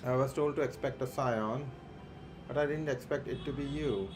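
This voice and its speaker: A man streaming a game, reading the on-screen dialogue aloud slowly and plainly, with stumbles and restarts. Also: mid-range voice, noisy background.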